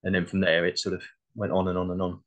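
A man's voice: low voice, completely flat delivery, fast. A man speaking as an interview guest in a casual, modest and reflective way. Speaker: interview guest, playwright and actor.

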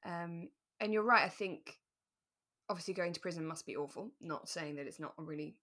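A woman's voice: on the low side, brisk, fairly bright tone. A British woman co-hosting a podcast in casual, chatty conversation, sometimes acting out short quotes. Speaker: podcast co-host. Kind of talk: casual chat about a radio drama.

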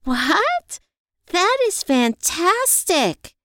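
Woman playing excitable, gushing female friend, pitched up. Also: takes it slowly, dry recording, studio quality. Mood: excited.

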